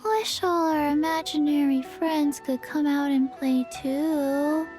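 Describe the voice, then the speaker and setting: Slow, high-pitched wistful child. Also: female voice, fairly even intonation, music in the background. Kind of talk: video game voice acting.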